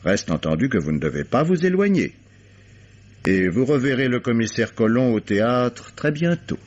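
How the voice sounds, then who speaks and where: An actor playing a stern middle-aged police commissioner who speaks in a firm, deliberate, warning way, in an old radio play. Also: male voice, mid-range voice, sing-song, a little mellow. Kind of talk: 1950s French radio drama acting. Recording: muffled old radio broadcast.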